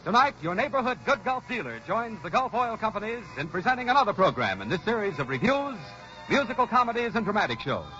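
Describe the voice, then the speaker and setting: Old time radio announcer guy, high swooping voice, muffled with music behind. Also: big pitch swings, fast, pushed and bright. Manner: emphatic, formal showman delivery. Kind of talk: 1940s radio show announcing. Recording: dull vintage radio recording with music.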